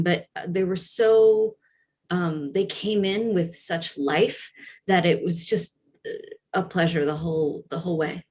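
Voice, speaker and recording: A woman speaking as a theater director on a video-call panel, casual and enthusiastic about the work. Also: mid-range voice.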